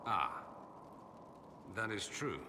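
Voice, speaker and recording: An actor playing an urbane, witty, self-assured aristocrat mage in a video game, articulate, theatrical and sardonic. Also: male voice, fairly low pitch, very animated, extremely slow, exaggerated voice. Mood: earnest, defensive. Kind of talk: video game dialogue acting. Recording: echoey hall with ambient noise.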